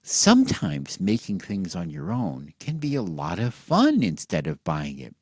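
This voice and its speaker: Husky man telling stories, wildly swooping delivery. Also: low-pitched, huge pitch swings, dry recording, clean studio sound. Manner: theatrical, mock-earnest, with drawn-out words and hushed drops. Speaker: storyteller, host of a bedtime story series. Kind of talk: satirical bedtime storytelling, as if to children.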